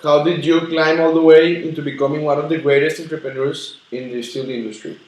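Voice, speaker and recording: A man's voice: fairly high pitch, fairly even intonation, fairly quick. Male interviewer in an echoey room. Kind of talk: scripted school-project interview.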